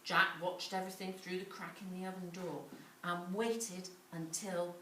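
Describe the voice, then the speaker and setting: A woman telling a children's story in an animated way, with repetition, dramatic pauses and questions to her listeners. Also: lowish voice. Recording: roomy, distant mic, clearly noisy.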